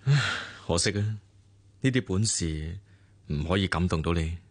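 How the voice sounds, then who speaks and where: An actor playing a calm, composed businessman in a radio drama, with a measured, reassuring Hong Kong Cantonese delivery. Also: sits low. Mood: tender, wistful.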